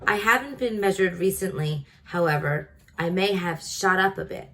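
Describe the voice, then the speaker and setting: A woman's voice: lowish voice, animated, normal speed, sharp tone, a little hiss or hum. Polite little mouse adventurer voiced by a woman. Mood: curious. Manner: polite, slightly formal speech. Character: tiny male mouse traveler, polite, jaunty, wistful adventurer.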